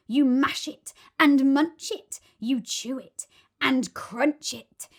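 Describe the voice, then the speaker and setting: Woman voicing a slow, sly, greedy crocodile villain. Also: high-pitched, gravelly, heavily acted. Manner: slow, menacing, relishing words, drawn out.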